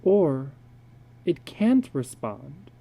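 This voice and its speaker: A man's voice: fairly high pitch, sing-song, extremely slow, vocal fry, dark tone, exaggerated voice. A man voicing a thoughtful, worried wife and mother in a story, in a tentative, reasoning way. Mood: worried, speculating.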